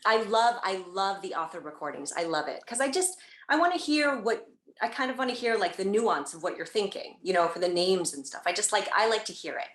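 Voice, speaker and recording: A woman's voice: mid-range voice, animated, fairly quick, fairly bright tone. Enthusiastic woman audiobook narrator, gushing chat with restarts, roomy echo. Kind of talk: casual interview chat.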